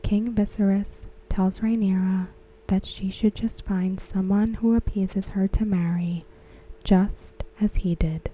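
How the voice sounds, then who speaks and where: A woman whispering softly and slowly as she recaps and discusses a TV episode for an ASMR video. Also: medium pitch, steady tone, low-quality recording. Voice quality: breathy. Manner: slow, soft whispering. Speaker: ASMR creator. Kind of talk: soft-spoken ASMR recap.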